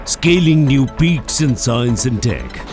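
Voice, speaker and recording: A man's voice: mid-range voice, big pitch swings, slow. A man doing a scripted promo voice-over as an announcer for a news channel. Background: music bed.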